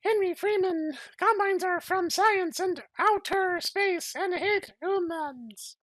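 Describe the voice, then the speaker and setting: A man voicing an earnest, worried mother in a falsetto lady's voice for a comedic story reading. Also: slow, a bit bright, full character voice. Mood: earnest explaining. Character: young male hero's worried, earnest mother. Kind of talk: comedic dramatic reading of fan fiction.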